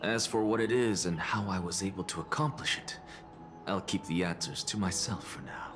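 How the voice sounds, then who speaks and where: A haughty, secretive male schemer from a video game, in a deep voice. He sounds theatrical and imperious, with faint noise behind him. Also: normal speed, a bit bright. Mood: smug, commanding. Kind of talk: scripted game dialogue acting.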